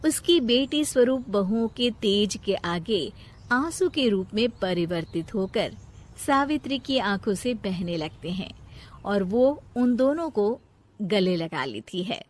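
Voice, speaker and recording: A woman storyteller reading scripted narration of a moral family story. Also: mid-range voice.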